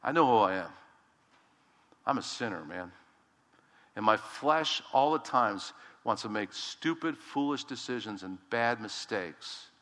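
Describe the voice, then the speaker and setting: A man preaches in a loud, emphatic way, his bright and forceful voice dropping low and then leaping up. The sound rings with the long reverb of a church sanctuary. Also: expressive, a little slow, pauses often. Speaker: pastor. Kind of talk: sermon.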